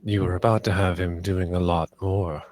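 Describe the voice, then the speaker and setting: A man performs a protective master of the house in a dramatic table read. His voice is on the low side. He is composed, with understated authority, in a dry recording. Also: level tone, normal speed, a little mellow, no echo, lightly acted.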